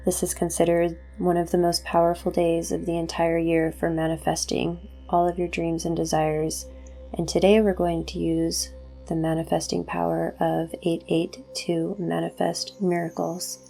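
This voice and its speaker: A woman hosting a guided meditation, introducing it as spiritual talk in deliberate, measured phrases. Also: lowish voice, completely flat delivery, gravelly, dark tone, soft background music.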